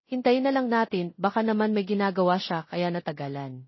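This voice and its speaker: A woman's voice: mid-range voice, fairly quick, no room sound, muffled recording, lightly acted. A woman voicing an affectionate, soothing mother of young twins in an audio romance story. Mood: tender, reassuring.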